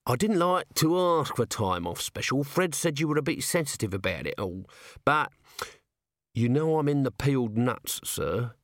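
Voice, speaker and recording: Man voicing a nervous low-ranking corporal, high, hesitant, lots of "sir". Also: big pitch swings, moderate pace, a bit bright, dry recording, studio quality. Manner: stammering, hesitant, full of "sir"s. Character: nervous little male corporal, shifty and evasive. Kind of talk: audiobook character voice.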